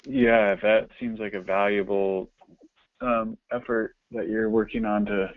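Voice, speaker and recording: A man in a casual work conversation over a phone line, speaking in halting, hesitant phrases with repeated starts. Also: fairly low pitch, level tone, no echo. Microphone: phone call. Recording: thin, narrow-band phone line.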